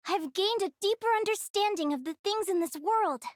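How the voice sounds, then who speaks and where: A woman voicing a curious young female explorer in a video game, speaking in an earnest, expressive, youthful way. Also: very high-pitched, moderate pace, dry recording, studio quality. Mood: awed, confident. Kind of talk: English video game character voicelines.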